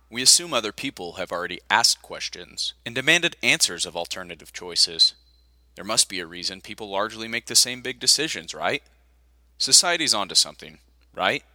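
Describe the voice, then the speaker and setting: A man's voice: fairly low pitch, sharp tone, dry recording. A man reading a nonfiction audiobook as scripted narration, close to the microphone.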